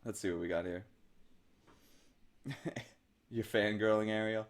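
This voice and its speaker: Excitable young man reading comments. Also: on the low side. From Pennsylvania, US. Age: young adult. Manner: excitable, jokey, reads comments aloud quickly. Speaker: livestream co-host. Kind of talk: casual live-stream chat reading viewer comments.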